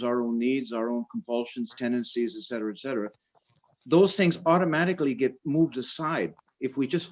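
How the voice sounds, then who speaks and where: A man's voice: mid-range voice, a little mellow. Earnest man on a muffled call. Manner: earnest, stammering restarts. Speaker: questioner. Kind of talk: question and comment in a Q&A. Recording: muffled lo-fi video call audio.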